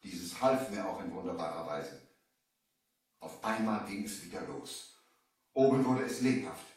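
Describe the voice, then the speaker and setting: A man's voice: sits low, measured pace, a little mellow. An actor playing a grave traveler who tells of his own ordeal, solemn and theatrical, in a radio play. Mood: tense, grave. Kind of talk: dramatic storytelling in a radio play. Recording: echoey distant old vinyl record.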